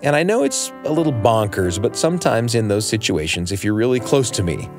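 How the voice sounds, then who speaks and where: A man telling a scripted personal story in an expressive, wry manner. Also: lowish voice, brisk, over music.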